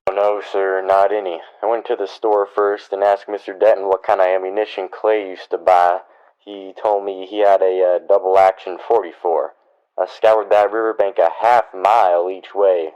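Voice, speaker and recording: A man plays a dutiful frontier deputy in a western radio drama. His voice is deep, with deferential, homespun American speech, heard through slightly dull sound. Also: little pitch movement, moderate pace, a little mellow, clean tone, natural voice. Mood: concerned, reporting. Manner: deferential, homespun, plain-spoken. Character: dutiful, folksy male deputy sidekick.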